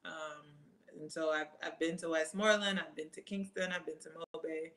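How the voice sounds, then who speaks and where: Bright-voiced woman chatting, lots of ums. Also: low-pitched, normal speed, low-quality recording. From Trinidad and Jamaica family roots, living in the US. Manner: relaxed, many ums, reads questions aloud then answers. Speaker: track athlete answering fan questions. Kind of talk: casual live Q&A.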